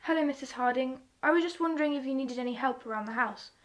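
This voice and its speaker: An anxious, eager-to-help girl in an audio play, acted by a woman. Her voice is high and very quick, chattering politely and apologetically. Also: natural voice. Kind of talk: audio drama acting.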